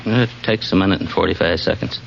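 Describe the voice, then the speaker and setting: A man's voice: sits low, fast talker, creaky. An American man working as a roller coaster operator, giving short, matter-of-fact answers as a quiz contestant. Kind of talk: short plain answers on air. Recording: muffled, very noisy 1949 radio recording.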